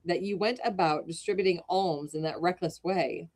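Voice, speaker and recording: A jovial gentleman, voiced by a woman reader who finds his friend's blunder hilarious. He speaks in a lowish, easy storybook tone, lightly mocking. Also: normal speed, close to an everyday voice. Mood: teasing. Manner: teasing, amused. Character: amused young gentleman, teasing and jovial.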